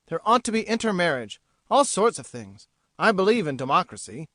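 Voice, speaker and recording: A woman reading an audiobook, voicing a smug, teasing young gentleman who talks in an arch, lofty way. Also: lowish voice, sing-song, normal speed, dry recording, studio quality, voice pushed down. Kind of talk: audiobook character voice.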